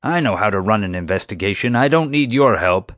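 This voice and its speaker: A man voicing a pompous, gruff, territorial security chief with a curt, commanding manner in an audiobook. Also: fairly low pitch, lively intonation, a little fast, a bit bright, dry recording, clean studio sound, pitched above the performer's own voice. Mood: territorial, angry. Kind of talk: audiobook character dialogue.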